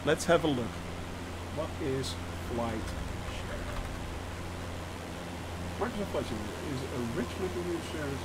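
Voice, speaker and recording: A man's voice: on the high side, animated, slow, pauses often, heavy background noise. A man hosting a flight simulator live stream, talking casually to his chat, thinking aloud with frequent hesitations and greeting viewers by name. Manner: frequent hesitations and restarts while explaining. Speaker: livestream host of a flight simulator channel.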